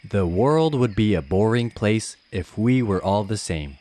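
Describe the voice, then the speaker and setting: Man playing a patient, animated storybook ape papa. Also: on the low side, measured pace. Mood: gentle, patient. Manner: expressive, gently instructive. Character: gentle, patient ape papa reading to his child. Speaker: storybook reader. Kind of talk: animated children's read-aloud.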